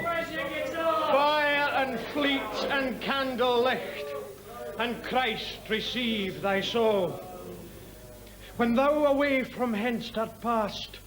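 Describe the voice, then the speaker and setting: An actor playing an earnest young conscript with a Scottish accent, solemnly reciting verse like an incantation in a drama. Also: male voice, extremely high pitch, full character voice, rough recording.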